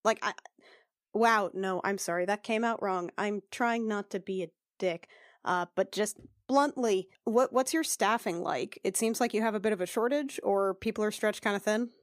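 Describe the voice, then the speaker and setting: A woman's voice: mid-range voice, moderate pace, dry recording, close to an everyday voice. Woman as a female fantasy healer, blunt, rambling, informal, mid-range, dry close sound. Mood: blunt, awkward.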